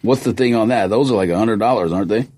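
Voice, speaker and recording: A man's voice: lowish voice, quick pace, darkish tone, husky. A man co-hosting a podcast, laid-back and tossing out dry wisecracks. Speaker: podcast co-host. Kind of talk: casual banter.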